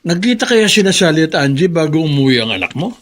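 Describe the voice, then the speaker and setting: A man's voice: a little high, very animated, quick pace. An actor playing a kind, superstitious elderly grandfather who frets about his daughter. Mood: worried. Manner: gentle, worried, folksy. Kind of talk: radio drama acting.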